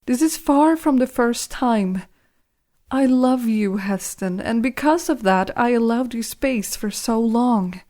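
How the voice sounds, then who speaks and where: Woman as high, unhurried, worried wife. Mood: tender concern. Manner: gentle, formal, concerned. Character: tender, worried wife of a pack leader. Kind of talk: fantasy audio drama acting.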